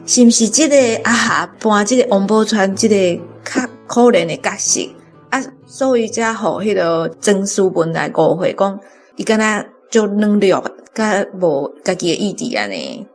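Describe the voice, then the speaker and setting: A woman's voice: mid-range voice, lively intonation, normal speed, faint music behind. A woman with a Taiwanese accent putting questions to a guest in a casual panel discussion.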